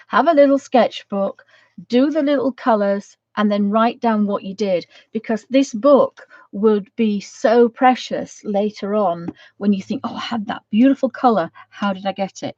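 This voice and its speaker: A woman with a croaky voice from a cold, chatting casually as she gives a painting tutorial and rambling with many ums. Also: medium pitch, a little mellow, no room sound. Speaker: art channel host. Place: home studio. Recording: dry, slightly dull sound.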